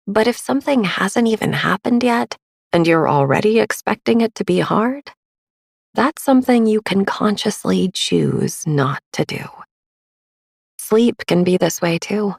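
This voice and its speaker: A woman podcast host narrating a sleep meditation in a soft, breathy, soothing and gentle manner. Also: lowish voice, no room sound, studio quality. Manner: soothing, hushed, gentle delivery.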